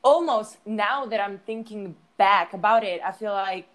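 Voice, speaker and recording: A woman's voice: medium pitch, unhurried, fairly bright tone. Young woman with an American accent, mid-range voice, hesitant and casual, lots of restarts. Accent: American English. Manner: hesitant, many restarts and fillers. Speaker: actor and English teacher. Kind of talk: casual chat.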